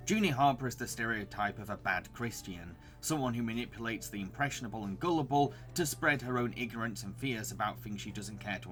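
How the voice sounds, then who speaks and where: A man's voice: lowish voice, fairly bright tone. A man reading scripted narration as a video reviewer.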